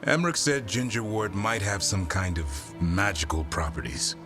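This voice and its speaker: An actor playing a laconic monster hunter in a video game, speaking in a terse, dryly amiable way. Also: male voice, deep voice, normal speed, over music, close to an everyday voice. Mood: puzzled, amused. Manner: terse, understated, dry.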